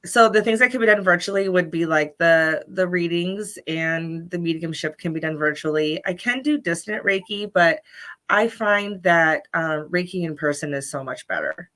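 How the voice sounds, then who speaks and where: Bright low-pitched woman healer. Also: normal speed. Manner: bubbly, many ums and restarts. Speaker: Reiki healer and guest. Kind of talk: casual interview chat. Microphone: livestream video call.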